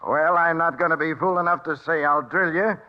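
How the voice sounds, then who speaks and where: A man's voice: a little high, close to an everyday voice. An American actor playing a smug, menacing outlaw gang leader who taunts his way through an old radio western. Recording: muffled old radio broadcast recording.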